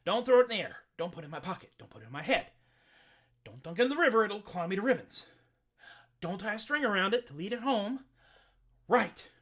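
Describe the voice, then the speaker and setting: A woman's voice: medium pitch, sing-song, brisk, long pauses, breathy edge. A woman voicing a big, strong young farmhand in a told folktale, speaking plainly and earnestly. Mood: anxious. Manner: earnest, plain-spoken, muttering to himself when nervous.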